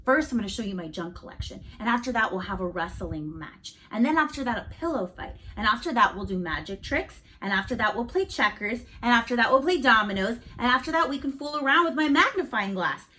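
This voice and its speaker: A woman reading a storybook, voicing an excitable young boy who lays out his plans with emphatic relish. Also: on the high side, brisk, few pauses, pitched above the performer's own voice. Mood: excited. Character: excitable young boy friend, scheming sleepover games. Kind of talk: storybook read-aloud.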